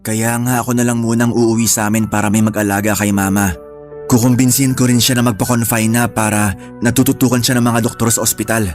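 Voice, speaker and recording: An actor playing a worried, devoted young son in a radio drama, with an earnest, troubled way of talking. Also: male voice, mid-range voice, level tone, a little fast, soft background music, close to an everyday voice. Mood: resigned, explaining.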